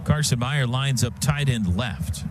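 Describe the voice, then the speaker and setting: A man's voice: mid-range voice, a little slow. An American man calling live football play-by-play on the radio in clipped, vivid phrases. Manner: clipped, vivid phrases that build to excited calls. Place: stadium radio booth. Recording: loud stadium noise behind broadcast.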